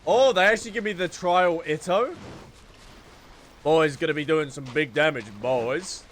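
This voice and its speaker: A man's voice: on the high side, a little slow, a bit bright, slight background noise. A man streaming a game, reacting casually with slangy, jokey commentary and repeated phrases.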